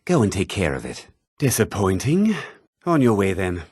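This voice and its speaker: An actor voicing a haughty, scheming fantasy townsman in a video game, with a husky voice and theatrical, condescending phrasing. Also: low-pitched, wildly swooping pitch, normal speed, darkish tone, no echo, clean studio sound, exaggerated voice. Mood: stern, commanding. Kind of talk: video game character dialogue.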